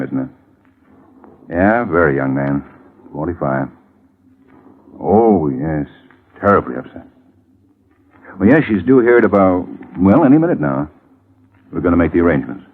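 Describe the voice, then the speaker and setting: An actor playing a middle-aged lawyer in a vintage radio drama, glib and brisk, with a folksy, evasive way of talking. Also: male voice, low voice, husky, heavily acted. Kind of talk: 1950s radio drama acting. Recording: muffled, hissy vintage radio recording.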